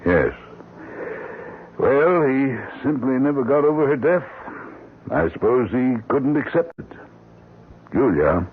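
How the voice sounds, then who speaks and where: An actor playing a sober, kindly family doctor, measured and matter-of-fact, in old-time radio drama style. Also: male voice, medium pitch, big pitch swings, husky, heavily acted. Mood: regretful. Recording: muffled, tinny old radio broadcast.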